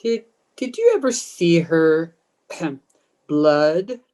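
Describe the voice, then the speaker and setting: A woman's voice: low voice, lively intonation, dark tone, full character voice. A woman playing a menacing, commanding undead ghost hungry for blood, in old time radio horror style. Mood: eerie, hungering. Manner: slow, commanding, taunting. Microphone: video call.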